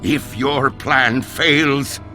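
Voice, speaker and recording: A man voicing a menacing, gloating zombie pirate villain in a video game, with a gravelly voice and theatrical delivery. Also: medium pitch, fairly even intonation, very slow, full character voice. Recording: loud music behind the voice.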